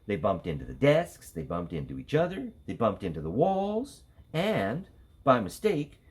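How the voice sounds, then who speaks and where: A man's voice: a little high, wildly swooping pitch, moderate pace, gravelly, husky. A man reading a children's story aloud with theatrical, dramatic emphasis. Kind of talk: animated children's read-aloud.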